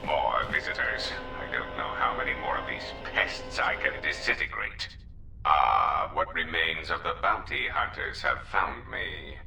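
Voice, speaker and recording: An actor voicing a cold, menacing droid crime lord in a video game, formal and calculating, with a gravelly, robotic voice. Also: male voice, measured pace, pushed and bright, heavily acted. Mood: cold menace. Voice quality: very deep, gravelly. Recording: distant echoing voice under music.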